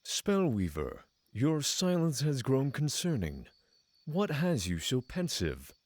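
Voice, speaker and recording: A man playing a solemn, courteous dragon lord in a fantasy audio drama, speaking in formal, measured phrases. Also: mid-range voice, huge pitch swings, a little mellow, no room sound, full character voice. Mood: concerned. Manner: formal, measured, thoughtful diction.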